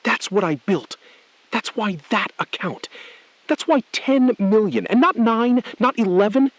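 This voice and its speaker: A desperate, driven bank robber, played by a man in a suspense audio drama. His delivery is intense and confrontational, halting at times, with pitch that swings widely. The recording is dry. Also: lively intonation, no echo. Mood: agitated, pleading. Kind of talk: scripted audio thriller acting.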